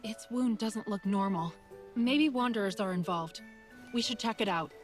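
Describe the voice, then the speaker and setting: An actress playing an earnest, curious, kind young heroine in an English fantasy game dub, over background music. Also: female voice, mid-range voice, moderate pace, lightly acted. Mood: concerned. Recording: background music under dialogue.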